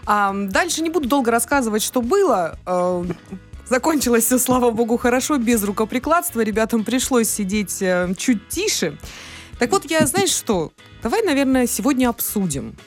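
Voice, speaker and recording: A woman co-hosting a morning radio show, lively and chatty as she tells stories in the studio. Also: fairly high pitch, normal speed, faint music behind. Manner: lively, storytelling. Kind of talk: casual radio banter.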